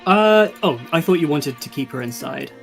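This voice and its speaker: A game master improvising narration and chatting casually in hesitant, halting phrases. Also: mid-range voice, animated, gravelly, soft background music.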